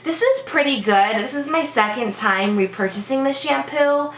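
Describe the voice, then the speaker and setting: A woman's voice: high voice, normal speed, a bit bright, reverberant, a little hiss or hum. A woman beauty vlogger with a congested, sick-sounding voice, chatting casually through a product review with lots of "like" and "um". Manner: chatty, many "like" and "um" fillers.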